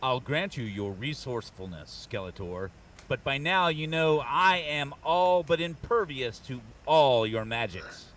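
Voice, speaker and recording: Guy doing a brave barbarian hero, bit far from mic, very animated, grand olden talk, earnest, comic table read. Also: mid-range voice, measured pace. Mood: calm confidence. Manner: declamatory, archaic heroic diction. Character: noble, earnest muscle-bound barbarian hero, strongest man around.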